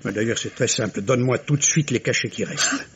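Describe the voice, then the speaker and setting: A man's voice: mid-range voice, fairly quick, a bit bright, husky. An actor playing a dismissive, patronising middle-aged father and doctor, theatrically exasperated, in a 1950s radio mystery. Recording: noisy vintage radio drama recording.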